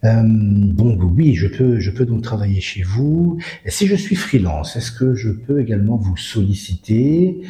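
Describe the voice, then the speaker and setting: A man hosts a casual podcast, putting long, carefully framed questions with plenty of fillers. His voice is very low, with a dark, warm tone. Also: lively intonation, measured pace, hardly any pauses. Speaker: podcast host for a spa school.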